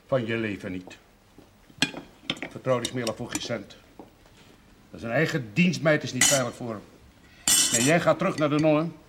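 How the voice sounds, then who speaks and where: A man's voice: mid-range voice, normal speed, pauses often, natural voice. An actor playing a proud, stubborn middle-aged brewer and father in a television drama, with a blunt, blustering manner. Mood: scolding. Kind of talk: television period drama acting. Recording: noisy old television soundtrack.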